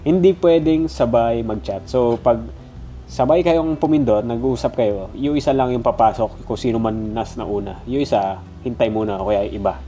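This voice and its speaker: A man's voice: medium pitch, normal speed, warm tone, soft background music. A Filipino-accented man with a stuffy nose, giving a casual, conversational gadget review. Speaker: product reviewer.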